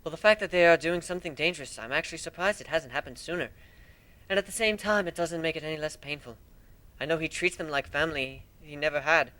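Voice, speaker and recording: A man as a composed, soft regal pony princess. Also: fairly high pitch, fast. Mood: sympathetic.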